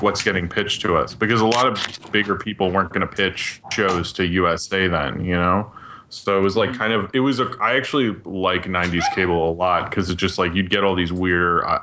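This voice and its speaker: A man with a deep voice chats casually on a podcast. He rambles, circling back and restarting phrases as he goes. A faint low rumble sits behind his voice. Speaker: podcast co-host. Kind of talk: casual chat about old TV cartoons. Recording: audible low rumbling background noise.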